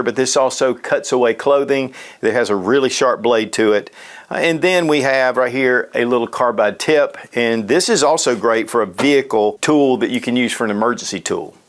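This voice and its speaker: A man presenting gear in a casual product demonstration, explaining things step by step in a matter-of-fact way. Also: mid-range voice.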